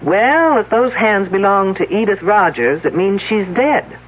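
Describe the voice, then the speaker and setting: A woman's voice: medium pitch, lively intonation, a little slow, husky. An actress playing a skeptical, persistent woman detective in a mystery drama, questioning and commenting in a dry, sarcastic manner. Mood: brisk, probing. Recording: noisy, narrow phone-like old broadcast audio.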